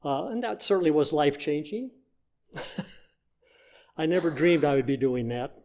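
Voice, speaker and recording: An older man with a fairly high-pitched voice gives a personal testimony at a church service. He speaks as a member of the congregation, delivering a prepared story in a measured, storytelling way. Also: animated, a little mellow, slight background noise.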